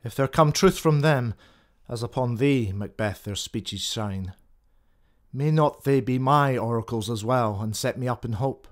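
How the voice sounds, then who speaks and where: A man's voice: medium pitch, a little slow, lightly acted. A man playing a wary, dutiful noble general in a classical Shakespearean radio drama. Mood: suspicious musing.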